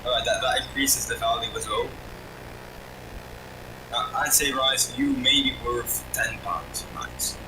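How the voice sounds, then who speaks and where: A man's voice: medium pitch, animated, a little slow, pauses often. A man teasing his friends with crude jokes in casual voice chat, laughing often. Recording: distant echoey mic with loud noise.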